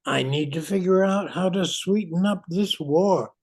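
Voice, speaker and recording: An older woman playing a steady retired scientist in an amateur radio play, measured and reassuring. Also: on the low side, breathy edge. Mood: exasperated. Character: retired scientist, steady, civic-minded candy maker. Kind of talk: amateur old-time radio play acting.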